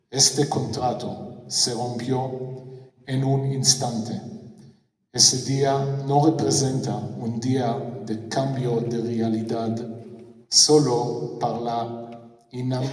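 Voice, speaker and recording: A man who speaks first delivers a memorial address in careful, halting Spanish, going very slowly and restarting on hard words. He is heard from a distance in a large concert hall with a long, roomy echo. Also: medium pitch, fairly bright tone. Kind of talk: formal speech read in non-native Spanish.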